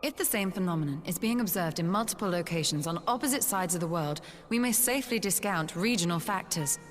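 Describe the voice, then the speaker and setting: An actress playing a composed, analytical scholar in a video game, speaking with dry, precise formality. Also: female voice, lowish voice, lively intonation, a little fast, natural voice. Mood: composed, inquiring. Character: composed, analytical female scholar, dryly confident. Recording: long reverb, music underneath.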